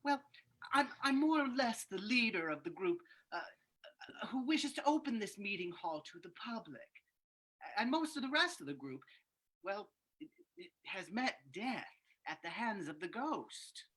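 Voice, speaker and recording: A woman's voice: mid-range voice, big pitch swings, measured pace, fairly bright tone, slightly rough, full character voice. A woman playing a nervous, eager townsman in a radio drama, polite and stammering, repeating words. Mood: anxious. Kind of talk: old-time radio drama acting.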